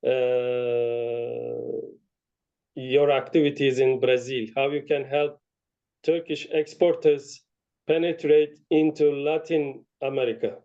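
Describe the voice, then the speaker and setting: A man hosting an online networking meeting, briskly introducing and prompting the speakers. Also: medium pitch, fairly even intonation, mellow, highs cut off. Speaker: meeting host. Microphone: video call.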